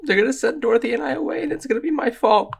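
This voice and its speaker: A woman's voice: high voice, racing, creaky, breathy edge, full character voice. A woman acting a self-doubting, guilt-ridden young character in an actual-play game, despairing and self-blaming.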